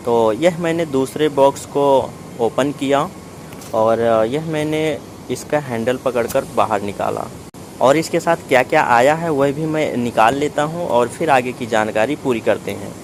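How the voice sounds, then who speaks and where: A man presents a product review with a mid-pitched voice over faint background noise. He explains each part methodically, moving step by step as in an unboxing video. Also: unhurried. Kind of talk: step-by-step unboxing narration in Hindi.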